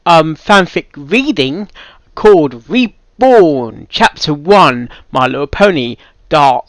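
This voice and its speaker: A man's voice: a little high, lively intonation, slow pace, dry recording. A man giving casual, off-the-cuff reaction commentary with exclamations. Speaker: reaction video host.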